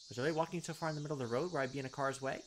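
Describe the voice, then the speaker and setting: A man's voice: medium pitch, racing, a little hiss or hum. A man hosting a let's play, reading visual novel narration aloud with casual commentary. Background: game music.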